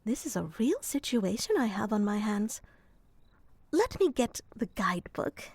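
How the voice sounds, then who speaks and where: A woman playing an earnest young moth girl who helps people sleep, speaking softly and deadpan, sometimes whispering, close to the mic in an ASMR roleplay. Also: medium pitch, husky, no room sound, full character voice. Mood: gently deadpan. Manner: soft, slow and deadpan, slips into whispers.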